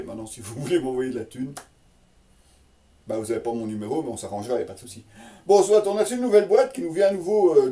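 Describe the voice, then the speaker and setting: Very dark-voiced man, chatty and halting. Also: mid-range voice, far from the mic. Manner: hesitant, halting delivery with repeated words. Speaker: host of a motorcycle gear unboxing channel. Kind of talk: casual unscripted product presentation.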